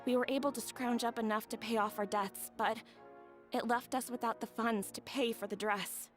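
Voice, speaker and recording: A woman's voice: on the high side, steady tone, normal speed, soft background music, lightly acted. A young woman playing a sweet, humble, grateful bride-to-be in a video game. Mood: wistful, earnest.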